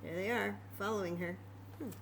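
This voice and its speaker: A woman storyteller reading aloud to young children in an expressive, chatty way, full of wonder and questions. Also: medium pitch, fairly quick, slight background noise, poor audio.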